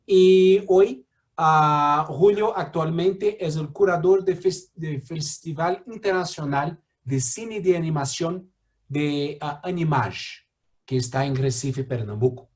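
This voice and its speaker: A man's voice: fairly high pitch, slow. A man with a Brazilian Portuguese accent, mediating a talk and introducing a guest with many fillers and restarts.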